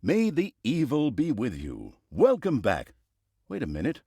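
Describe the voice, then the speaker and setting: Wildly swooping, sardonic game narrator. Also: male voice, medium pitch, wildly swooping pitch, a little slow, vocal fry, mellow, husky, no room sound, clean studio sound, full character voice. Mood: gleeful, teasing. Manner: mock-solemn, tongue-in-cheek, dramatic. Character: grandiose, sardonic storyteller narrator of an evil fantasy game. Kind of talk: theatrical comic scripted game narration.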